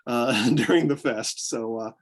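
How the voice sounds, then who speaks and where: A man's voice: medium pitch, a little slow. A man from upstate New York with a mixed Southern and Northern US accent, an eager guest who talks in a rambling way with many restarts. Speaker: guest, photographer. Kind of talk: casual interview chat.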